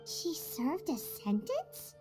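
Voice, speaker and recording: A woman voicing a small, childlike fairy companion in an anime game, squeaky, chirpy and curious. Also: huge pitch swings, takes it slowly, over loud music, full character voice.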